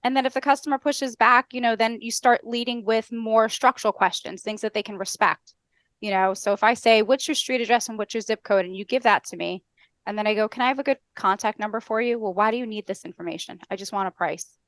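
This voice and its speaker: Woman, American accent, middle-aged, very quick pace, structured explainer, dry close headset sound. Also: a little high, a bit bright, no room sound. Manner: brisk, structured, instructional. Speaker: podcast guest, customer service trainer. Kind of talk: explanatory interview talk with acted-out call examples. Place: indoor room on a video call.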